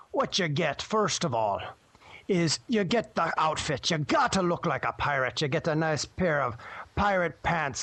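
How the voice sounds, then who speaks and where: A man's voice: a little high, a bit bright, dry recording, full character voice. A man playing a jovial, swaggering pirate guide who rambles through advice in piratey phrasing for a radio show.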